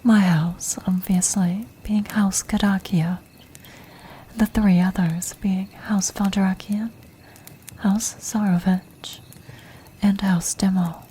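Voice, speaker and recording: A woman voicing an ancient, commanding vampire countess in a soft, breathy, formal whisper for an ASMR fantasy roleplay. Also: medium pitch, fairly even intonation, slight background noise, natural voice, rough recording. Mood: composed, formal. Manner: slow, formal, measured, regal.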